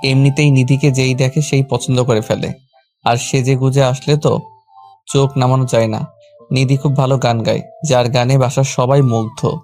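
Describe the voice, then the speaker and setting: Male narrator, Bangladeshi accent, mellow and level, first-person love story over soft music. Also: mid-range voice, fairly even intonation, normal speed. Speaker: narrator and lead character of a romantic audio story.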